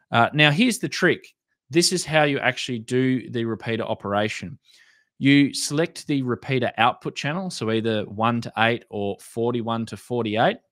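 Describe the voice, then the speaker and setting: A man's voice: mid-range voice, a bit bright, no room sound. Australian man explaining, close dry sound. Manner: plain-spoken, explanatory. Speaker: presenter of a radio hobby tutorial. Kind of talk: instructional explainer, semi-scripted.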